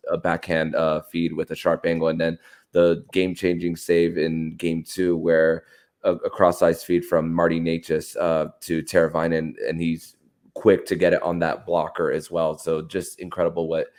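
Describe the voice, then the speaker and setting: Deep-voiced, halting male podcast host. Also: monotone, darkish tone. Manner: halting, stammering repetition of words. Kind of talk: conversational sports analysis.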